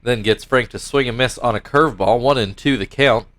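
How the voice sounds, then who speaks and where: A man's voice: mid-range voice, fairly quick, fairly bright tone. Stuffy-nosed man calling a video game. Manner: rambling and self-correcting, mock play-by-play calls. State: stuffy nose. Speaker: gaming YouTuber commentating his own baseball game league. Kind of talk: casual play-by-play game commentary.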